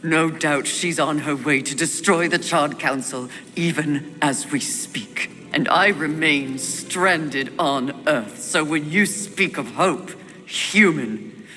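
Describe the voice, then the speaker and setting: A woman playing a proud, bitter rider of the apocalypse in a video game, with a cold, formal, deliberate delivery. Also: sits low, bright, forceful tone, exaggerated voice. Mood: bitter despair. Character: proud, bitter, imperious female horseman warrior of apocalypse. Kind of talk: dramatic video game acting. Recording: long hall reverb over music.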